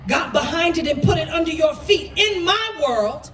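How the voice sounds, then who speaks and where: A woman's voice: extremely high pitch, expressive, normal speed, background noise. A woman performing spoken word poetry with an impassioned, emphatic and dramatic delivery. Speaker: poet. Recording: roomy echo, distant microphone.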